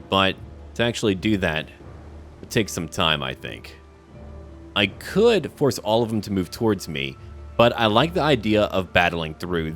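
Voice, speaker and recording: A man with a deep voice talks through his game, thinking aloud and calling to his party in a chatty, relaxed way. His pitch swings lively, and soft music plays underneath. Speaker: gamer playing a role-playing game. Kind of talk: casual let's-play commentary.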